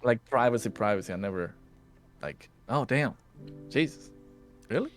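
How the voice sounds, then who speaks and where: A man's voice: medium pitch, moderate pace. A man hosting a casual chat over a voice call, swearing freely and acting out voices. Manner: swears a lot, acts out voices, lively. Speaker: podcast host and streamer. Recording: voice call with lofi music behind. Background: lofi music.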